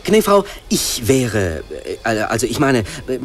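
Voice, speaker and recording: An actor playing a gushing, brash charmer with an effusive, halting manner in a radio play. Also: male voice, medium pitch, very animated. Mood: hesitant. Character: gushing, flattering, brash showman courting a nymph. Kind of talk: German radio play acting.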